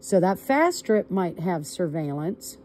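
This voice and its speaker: A woman caller on a recorded call, recounting events to law enforcement step by step in a deliberate, emphatic manner. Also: fairly low pitch, very animated, a little mellow.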